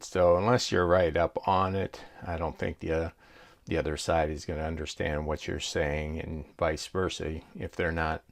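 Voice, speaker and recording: A man's voice: deep voice, creaky. A man giving a casual product demonstration as a reviewer, explaining things in a plain-spoken, hesitant way.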